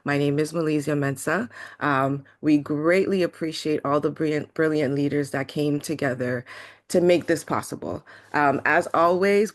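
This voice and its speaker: Woman, event host, formal welcoming delivery, introducing speakers. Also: low-pitched.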